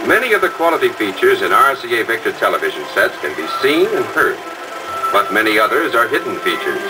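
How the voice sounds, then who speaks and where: A man's voice: fairly low pitch, sing-song, a little fast. A man narrating a 1950s promotional film in a formal announcer style. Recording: distant, muffled old film sound with clear music.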